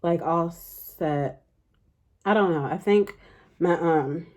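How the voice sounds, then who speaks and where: A young adult woman chatting casually through a makeup tutorial in a fairly deep voice, rambling with lots of fillers. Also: takes it slowly, a little mellow, highs cut off. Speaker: beauty vlogger.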